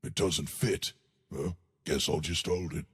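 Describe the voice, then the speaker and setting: An actor playing a giant, cheerful living stone colossus in a video game, good-natured and dim, with a gravelly, husky, booming voice and a hearty, emphatic delivery. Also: male voice, unhurried, full character voice. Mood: puzzled, musing. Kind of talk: video game character voice lines.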